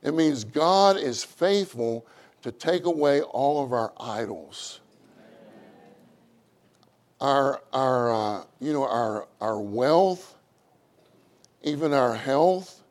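A middle-aged man with a loud voice, preaching a sermon with animated, acted-out quotes. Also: slow, pauses often. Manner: animated, acts out quotes with rising pitch.

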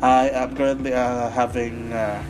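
Raspy-voiced man with a cold, rambling. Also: medium pitch, little pitch movement, a little slow, music in the background, low-quality recording. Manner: rambling with many fillers and restarts. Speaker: podcast host. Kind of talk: casual solo podcast talk.

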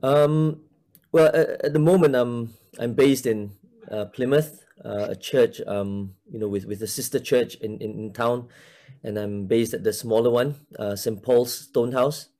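A man's voice: mid-range voice, a little slow, dark tone. A man in his forties from Malaysia, a church minister talking casually in an interview, hesitant, with many fillers and restarts.

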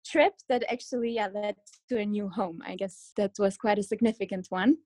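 A woman's voice: mid-range voice, fairly quick, mellow, no echo. A young woman who grew up in Germany, chatting as an interview guest, laughing often and hedging with "let's say". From Kazakhstan, grew up in Germany. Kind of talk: casual conversational interview.

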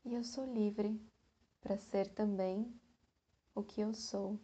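A woman with a Brazilian Portuguese accent guiding a meditation, speaking gently and leaving long pauses between phrases. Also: mid-range voice, steady tone, breathy edge. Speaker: meditation guide. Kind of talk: guided meditation.

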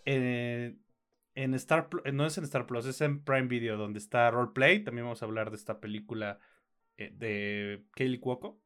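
A man's voice: medium pitch, moderate pace, a bit bright, faint music behind. A tired Mexican man co-hosting a film podcast, rambling informally and correcting himself as he goes. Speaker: podcast co-host. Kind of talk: casual film podcast chat.

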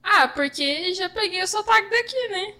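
A woman's voice: extremely high pitch, expressive, moderate pace, pushed and bright. A woman from São Paulo state with a Brazilian Portuguese accent, answering interview questions in a slightly hesitant way. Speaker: guest, music teacher. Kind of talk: casual interview.

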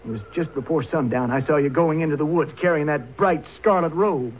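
A man's voice: fairly quick, natural voice. An actor playing an agitated, hot-headed young gunman in a radio drama, nervous and stammering as he threatens. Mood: menacing. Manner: stammering, high-strung. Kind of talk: old-time radio drama acting. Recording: tinny, hissy old radio broadcast.

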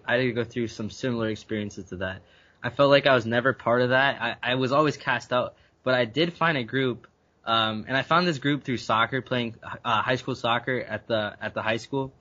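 A young man from Guyana speaks as a podcast guest at a middle pitch. He talks earnestly and often repeats a word while he gathers his thoughts. The recording has a slightly dull, lo-fi sound. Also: pushed and bright. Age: young adult. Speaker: university student guest. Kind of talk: conversational interview answers.

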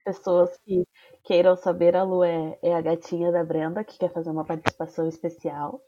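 A woman's voice: lowish voice, level tone, a little fast, warm tone, dull audio. A woman with a Brazilian Portuguese accent and a slight cold, hosting a podcast in a casual, chatty way. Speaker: podcast host.

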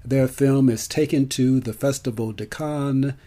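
An older man, an actor by trade, telling his own story in a deliberate way, repeating words for emphasis. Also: medium pitch, steady tone, mellow. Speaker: actor and performer. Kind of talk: personal storytelling monologue.

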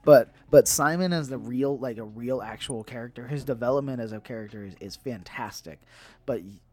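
A man's voice: medium pitch, brisk, darkish tone. A man co-hosting a podcast in casual chat, emphatic and prone to repeating himself. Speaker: podcast co-host. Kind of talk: casual nerd-news chat.

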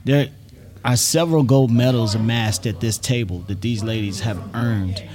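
A man's voice: medium pitch, normal speed, darkish tone. A man hosting a casual podcast round a table, encouraging and emphatic, often checking in with "all right?". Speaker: podcast host and gym owner.

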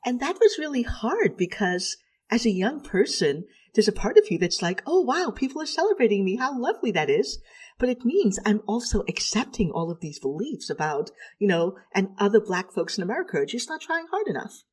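A woman's voice: mid-range voice, sing-song, brisk, breathy edge. A middle-aged woman from Trinidad, a clinical psychologist, telling her story in a reflective, articulate way.

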